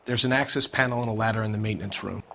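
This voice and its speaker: Brisk male building employee, helpful, rapid delivery, faint background noise. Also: lowish voice, gravelly, sharp tone. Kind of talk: crime drama game acting.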